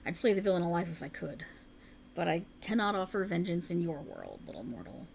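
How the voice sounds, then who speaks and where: Creaky, coaxing ancient faerie woman, acted by a woman. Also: fairly low pitch, sing-song, fairly quick, a little mellow, slight background noise. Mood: indignant, comforting. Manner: soothing, formal archaic phrasing, coaxing. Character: ancient alluring female faerie, tender yet sinisterly possessive. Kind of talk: scripted ASMR roleplay.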